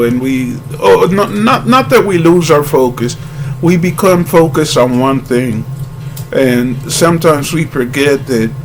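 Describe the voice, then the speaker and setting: A man talking casually to his channel's viewers, rambling with halting restarts and earnest greetings. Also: medium pitch, slight background noise, poor audio. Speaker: YouTuber.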